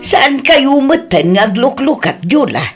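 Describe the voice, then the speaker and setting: A woman's voice: high voice, very animated, a little slow, over loud music. A woman with an Ilocano accent playing an older rural wife and aunt who is concerned, in a conversational audio drama.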